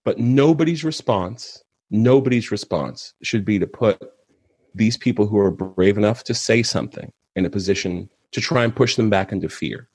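A man's voice: lowish voice, normal speed, darkish tone, husky, no echo. A man in his thirties, a guest in a casual conversation, talking earnestly and laughing easily. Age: 30s.

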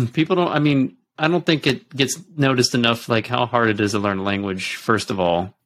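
A man's voice: mid-range voice, moderate pace, fairly bright tone. A man hosting an interview, asking questions hesitantly with many ums and restarts. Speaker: podcast host. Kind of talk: casual interview.

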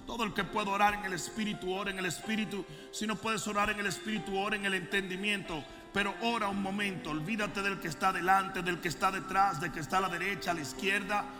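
A man preaching as a bishop, moving from calm storytelling to fervent, shouted prayer. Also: sits high, fast talker, few pauses, in a large hall, over music. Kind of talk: sermon and prayer.

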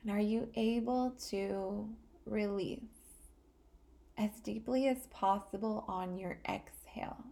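Slow, mellow woman guiding meditation. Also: mid-range voice, vocal fry. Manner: calm, soothing, with long pauses between short phrases. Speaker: guided meditation podcast host. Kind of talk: guided relaxation meditation.

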